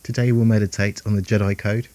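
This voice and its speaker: A man guiding a meditation in a calm, soothing, unhurried way, leaving long pauses between phrases. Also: on the low side, dry recording. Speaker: meditation guide. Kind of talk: guided meditation narration.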